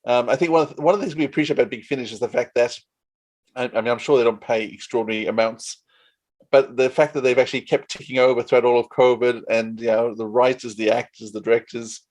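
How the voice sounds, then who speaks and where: A man's voice: medium pitch, steady tone, fast, darkish tone. A man with an Australian accent hosting a casual interview, speaking in an effusive, appreciative way. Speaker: podcast host.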